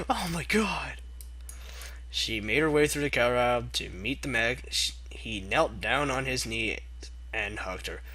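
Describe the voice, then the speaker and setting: A man's voice: mid-range voice, pushed and bright, husky. A young man reading a fan story aloud, breaking off for sarcastic, mock-exasperated asides. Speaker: fanfic reader. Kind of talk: comedic dramatic reading.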